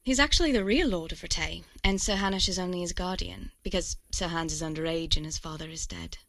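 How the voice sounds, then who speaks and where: An actress playing a gentle, pious young village woman with a playful warmth, in a medieval video game. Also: lowish voice, sing-song, fairly quick, no room sound, full character voice. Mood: chatty, informative. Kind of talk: video game dialogue acting.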